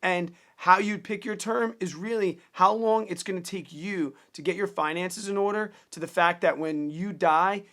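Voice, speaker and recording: Man, 30s, slightly high voice, earnest, plainspoken, casual finance vlog. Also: moderate pace, hardly any pauses, a bit bright. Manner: earnest, plainspoken, repeats words when restarting. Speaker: personal finance vlogger. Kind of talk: casual direct-to-camera advice.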